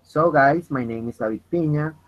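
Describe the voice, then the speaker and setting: A man in his twenties with a Venezuelan Spanish accent, leading an online English practice chat in halting, restarting English. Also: a little high. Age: 20s. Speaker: chat moderator. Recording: dull lo-fi voice call with faint noise.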